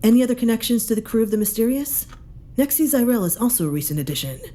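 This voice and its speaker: A woman's voice: fairly high pitch, very animated, quick pace, warm tone. A woman playing a stern commanding officer who speaks curtly with authority. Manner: curt, authoritative. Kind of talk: audio drama acting.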